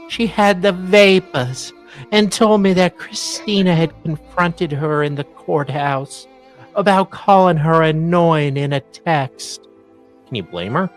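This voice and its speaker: A woman co-host reading documents aloud in a theatrical, mocking way, breaking off for casual asides. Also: on the low side. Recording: faint music behind voice. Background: faint music.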